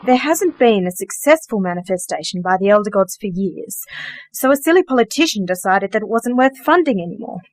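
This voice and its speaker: A woman's voice: medium pitch, lively intonation, a little fast, lightly acted. A woman playing a genteel, dryly witty sorcerer in a radio play, polite, precise and matter-of-fact. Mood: dryly amused. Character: composed, genteel, dryly witty female sorcerer, organizer of magic workers.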